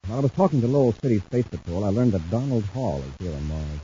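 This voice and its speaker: A commanding, sharp-minded space patrol commander, played by a man in old radio serial style. His voice is low and mellow, and his orders are clipped and authoritative. The recording is dull and faintly hissy. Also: lively intonation, fast, lightly acted. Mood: brisk, decisive. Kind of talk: mid-century radio serial acting.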